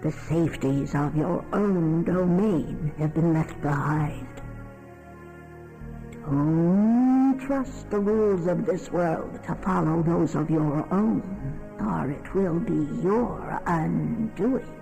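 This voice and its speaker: An actor playing an ominous otherworldly guide, solemnly and portentously narrating a dark fantasy game. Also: male voice, on the high side, slow pace, gravelly, faint music behind, rough recording.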